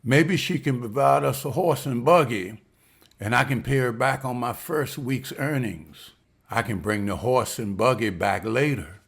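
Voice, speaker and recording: A man's voice: medium pitch, measured pace, creaky, breathy edge. A man reading the part of William, a hopeful, respectful husband who has just landed a waiter's job, in a table read. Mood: eager. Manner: earnest, respectful, says ma'am.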